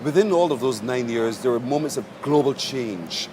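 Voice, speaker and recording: A man's voice: medium pitch, expressive, normal speed, noisy background. A man hosting a morning television show, interviewing a guest attentively with short affirmations.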